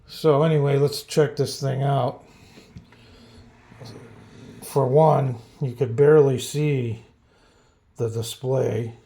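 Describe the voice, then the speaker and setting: A man giving a casual, unscripted product review in a halting, offhand, plain-spoken way. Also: mid-range voice, gravelly, dark tone.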